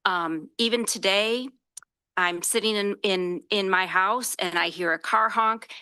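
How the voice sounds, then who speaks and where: A resident woman addresses a council meeting at public comment. Her voice is loud and bright, and she speaks earnestly about her concern. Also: mid-range voice, a little slow, clear, resonant tone. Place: council chamber.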